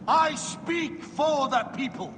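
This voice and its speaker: A proud roman dictator, grand speechy delivery, high voice, big echoey hall w noise. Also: male voice, slow pace. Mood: grandly persuasive. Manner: declamatory, oratorical. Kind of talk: dramatic video game cutscene acting. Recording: noisy, long reverberant hall.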